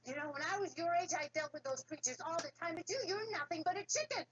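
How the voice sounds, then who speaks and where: A woman's voice: very high voice, quick pace, fairly bright tone, dull audio, a little hiss or hum, voice pushed up. A woman voicing a boastful father of about sixty who scolds his sons for cowardice in a told folktale. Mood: scornful.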